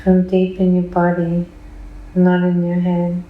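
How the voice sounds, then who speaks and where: A woman answering questions in a hypnosis session, trance-like and detached, in short, deliberate declarative sentences. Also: on the low side, completely flat delivery, phone-quality sound. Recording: echoey room.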